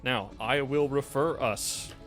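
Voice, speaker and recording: A man's voice: mid-range voice, slow, fairly bright tone. A man running a tabletop roleplaying game, improvising narration and explaining scenes and rules in a conversational way. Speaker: game master. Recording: music playing faintly behind.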